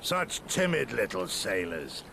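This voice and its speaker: An actor voicing a proud, hardy foreign seafarer in a fantasy game, with grandiloquent, old-fashioned formal speech. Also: male voice, lowish voice, big pitch swings, measured pace, creaky, bright, forceful tone, slight background noise, full character voice. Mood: proud, boastful. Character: archaic-speaking proud, hardy foreign seafaring traveler man.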